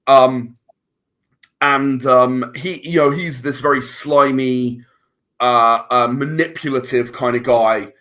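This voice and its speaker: A man's voice: mid-range voice, fairly even intonation, takes it slowly, a bit bright. A man chatting casually as a podcast guest, rambling and repeating words as he searches for his phrasing. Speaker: interview guest, filmmaker and audio drama writer.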